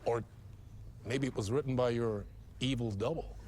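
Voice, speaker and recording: A man's voice: mid-range voice, moderate pace, a little mellow, slight background noise, natural voice. An actor playing an effusive, teasing male TV talk show host with a showy, playful manner and dramatic emphasis. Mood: excited, teasing. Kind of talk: theatrical late-night talk show hosting.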